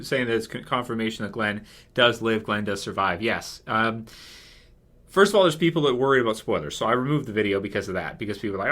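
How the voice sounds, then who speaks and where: A man's voice: lowish voice, pushed and bright. A man hosting a casual fan commentary video, talking in a rambling way that circles back over his points. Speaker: video host.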